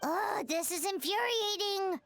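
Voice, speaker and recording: A woman voicing a small, chatty, childlike fairy companion in a video game, expressive and full of exclamations. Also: very high-pitched, a little slow, faint music behind, heavily acted. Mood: impatient, exasperated.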